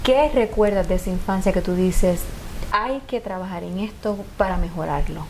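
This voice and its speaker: A young adult woman hosting a TV interview, asking lively, probing questions and jumping in with follow-ups. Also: lowish voice, moderate pace, a little hiss or hum, rough recording. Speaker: TV show host. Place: TV studio set.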